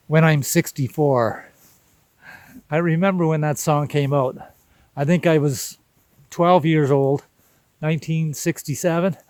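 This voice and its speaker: Slow-spoken man in his sixties. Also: on the high side, a little mellow, no echo. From Canada. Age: 60s. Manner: unhurried, halting, contemplative, with deliberate pauses. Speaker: vlog host. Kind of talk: reflective personal vlog monologue.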